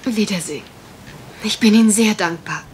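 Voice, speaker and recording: A woman's voice: mid-range voice, moderate pace, slight background noise, natural voice. A woman acting a troubled, polite young heiress in a German radio crime drama, confiding and a little hesitant. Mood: grateful, polite.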